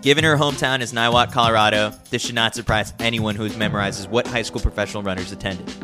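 A man's voice: fairly low pitch, fairly even intonation, fast, over music. A man narrating a scripted sports newsletter in a wry, opinionated way. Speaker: newsletter reader and host.

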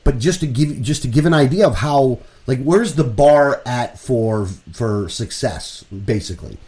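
Animated man with a warm, mellow voice. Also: mid-range voice, a little slow. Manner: animated, opinionated, explains with numbers. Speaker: radio show co-host. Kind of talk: casual political talk radio.

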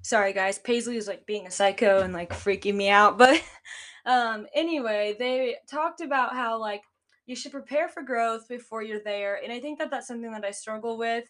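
A woman's voice: fairly high pitch, moderate pace, a bit bright. Chatty young woman, a bit congested. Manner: chatty, lots of "like" and "um" fillers. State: congested, nasal. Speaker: podcast host, business owner. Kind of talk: casual solo podcast talk.

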